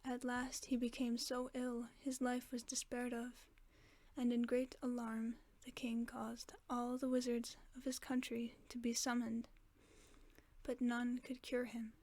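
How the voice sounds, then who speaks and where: A woman reading a fairy tale aloud as a sleep aid, in a soft, mostly unvoiced whisper close to the microphone. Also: fairly high pitch, completely flat delivery, a little slow, no echo. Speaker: ASMR storyteller. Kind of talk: whispered ASMR bedtime story reading.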